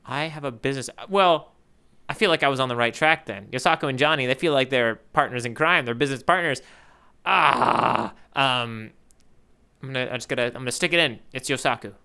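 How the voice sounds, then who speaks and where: A man's voice: mid-range voice, a little fast, pushed and bright, clean tone. A man co-hosting a podcast as a game contestant, chatting casually and thinking aloud as he wavers between guesses. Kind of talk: casual chat, playful quiz banter.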